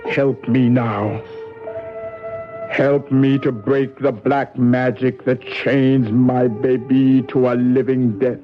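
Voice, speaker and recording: An actress playing a stern, vengeful older sorceress in a radio drama, with a solemn, incantatory, theatrical delivery. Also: female voice, very deep voice, little pitch movement, slow, faint music behind. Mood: solemn, pleading incantation. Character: stern, vengeful older woman sorceress, a countess and devoted aunt. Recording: dull lo-fi old radio broadcast.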